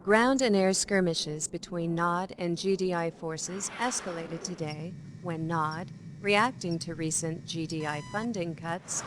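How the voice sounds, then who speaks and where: An actress playing a serious television news anchor, reading formally and with measured delivery in a game cutscene. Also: female voice, fairly low pitch, close to an everyday voice. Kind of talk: scripted TV news report in a game. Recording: clear background noise of vehicles.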